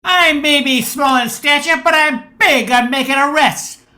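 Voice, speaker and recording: A woman's voice: high voice, a little slow, bright, forceful tone. A woman acting an eager little boy undercover cop in an amateur radio play. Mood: suspicious, bold.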